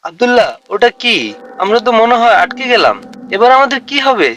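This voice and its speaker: A man's voice: very high voice, expressive, brisk, a bit bright, slight background noise. A man playing an anxious, easily frightened young village lad in a cartoon, with a worried way of talking. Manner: worried, questioning.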